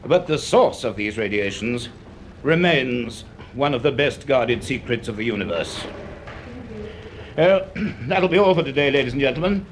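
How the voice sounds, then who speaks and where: An actor playing a learned, slightly gruff male professor in a radio drama, speaking in a formal, donnish manner. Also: mid-range voice, lively intonation, moderate pace, a little hiss or hum, natural voice. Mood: formal, lecturing. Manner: donnish, formal, hesitant when reminiscing. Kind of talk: radio drama monologue and lecturing.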